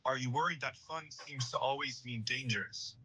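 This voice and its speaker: A man playing an anxious, devout young man in an audio drama, hesitant and earnest, with a sharp, husky voice. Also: mid-range voice, normal speed, slight background noise, natural voice, low-quality recording. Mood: earnest reading.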